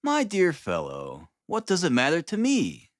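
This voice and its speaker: A man voicing a brisk, dryly superior detective in an audiobook, calm and clipped in manner. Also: a little high, dry recording, studio quality, voice pushed up. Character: brisk, dryly superior male detective, languid then energetic. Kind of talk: dramatised audiobook reading of Victorian detective fiction.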